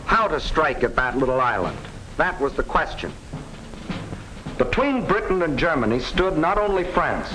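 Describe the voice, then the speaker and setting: A man narrating a 1940s propaganda film with emphatic, deliberate phrasing, on a vintage film soundtrack. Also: fairly high pitch, a bit bright, a little hiss or hum, low-quality recording. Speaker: documentary narrator.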